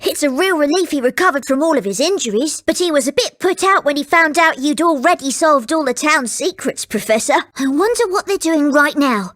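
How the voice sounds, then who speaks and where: Young boy, gentleman's apprentice in a video game: very high voice, lively swings in pitch, earnest and well-mannered. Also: brisk, few pauses. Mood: curious, polite. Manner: earnest, polite, excitable.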